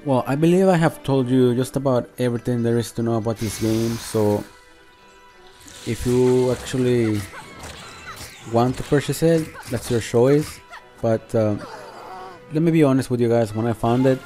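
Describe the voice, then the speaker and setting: Male game channel host, relaxed dark tone, halting conversational delivery, music playing underneath. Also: medium pitch. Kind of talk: casual unscripted gameplay commentary.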